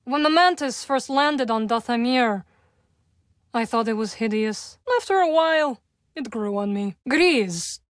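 A young witch from a video game, guarded and solemn with a dry wit. Her voice sits fairly high and is recorded close and dry. She phrases things formally and with measured care. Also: animated, dry recording. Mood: dryly wry. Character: young adult witch, solemn, guarded, dryly wry rebel. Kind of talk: video game character dialogue.

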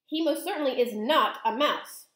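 A woman performs an outraged English gentleman and father from a children's storybook. He blusters and splutters indignantly, his pitch high and swooping. The room rings a little around him. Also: very animated, fairly quick, roomy sound.

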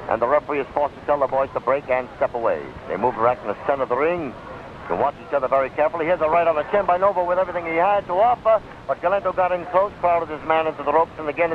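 An American man giving live blow-by-blow boxing commentary in the style of 1930s radio. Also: a little high. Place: ringside at an outdoor stadium. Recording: tinny, noisy 1939 radio broadcast recording.

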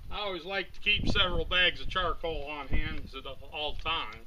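An older man hosting his own cooking video, narrating in a slow, plainspoken way while he grills in a snowy rural yard. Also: on the high side, fairly even intonation. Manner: slow, plainspoken, talking to himself as he works. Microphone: camera on a tripod some distance away. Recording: outdoor camera audio with loud wind and yard noise.

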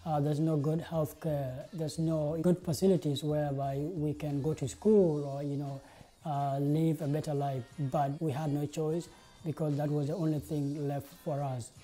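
Dark-voiced young man. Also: a little high, level tone, normal speed, faint music behind, low-quality recording. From South Sudan. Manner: earnest, many "uh" and "like" fillers. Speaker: interviewee, game designer. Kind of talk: interview monologue to camera. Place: indoor studio with plain backdrop.

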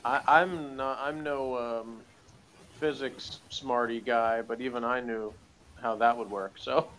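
A man co-hosting a casual review, speaking in a halting, colloquial way. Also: mid-range voice, steady tone, a bit bright, slight background noise. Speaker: review co-host. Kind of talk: casual chat.

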